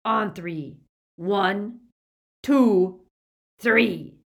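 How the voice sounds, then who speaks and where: A woman voicing a brutal, greedy male werewolf gang leader who growls, rasps and snarls his lines. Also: on the low side, lively intonation, takes it slowly, full character voice. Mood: greedy commanding. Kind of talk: audiobook character voice.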